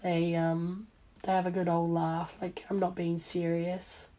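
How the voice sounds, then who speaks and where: A young Australian woman with a hoarse, failing voice chats casually and self-deprecatingly on a livestream as she works. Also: fairly low pitch, level tone, a little slow, dark tone. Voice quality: hoarse, weakened voice. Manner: rambling, self-deprecating, informal. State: losing voice after illness. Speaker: small business owner and crafter livestreaming. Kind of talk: casual chatty livestream while sewing. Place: indoor home sewing workspace. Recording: noisy room with clicking sounds.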